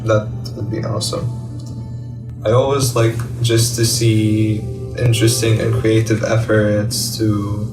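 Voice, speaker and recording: A man, giving an informal personal testimonial as a university student. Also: lowish voice. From Jordan. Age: early 20s. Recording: roomy, distant mic, background music.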